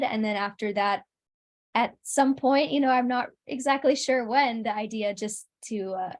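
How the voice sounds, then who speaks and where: A young woman with a medium-pitched voice speaks as an interview guest. Her delivery is casual and conversational, as in an informal chat about her own work.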